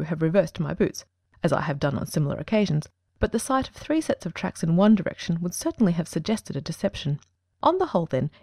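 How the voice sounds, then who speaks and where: A woman playing a composed, precise male detective in a dramatic audiobook, speaking in a measured, formal and articulate way. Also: lowish voice, mellow, no echo, clean studio sound.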